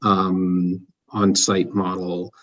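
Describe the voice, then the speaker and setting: A man's voice: sits low, monotone, very slow, lo-fi sound. A man asking questions as a financial analyst on an earnings call, sounding distant from the microphone. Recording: distant from the mic.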